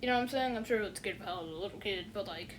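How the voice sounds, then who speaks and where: A woman's voice: mid-range voice, very fast, a little hiss or hum. Rambling, unimpressed woman reviewer. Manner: rambling, heavy fillers, repeats "you know what I'm saying"; dismissive, unimpressed. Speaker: online reviewer. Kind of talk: casual spoken review.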